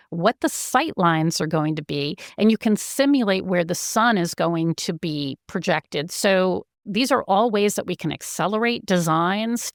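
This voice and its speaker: A woman's voice: fairly low pitch, dry recording, clean studio sound. A woman executive giving explanatory answers in an interview, speaking in an earnest, enthusiastic and articulate way. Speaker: company chief executive, interview guest.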